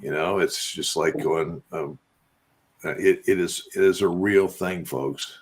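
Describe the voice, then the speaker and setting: Male host, low husky voice, halting and repetitive, earnest preacher-like talk. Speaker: radio show host. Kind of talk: casual religious talk.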